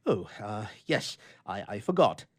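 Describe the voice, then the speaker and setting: A man playing a grumpy, flustered bachelor with a soft heart, telling his tale with theatrical bluster and stammering in old-fashioned storybook prose. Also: lowish voice, big pitch swings, unhurried. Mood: flustered, defensive.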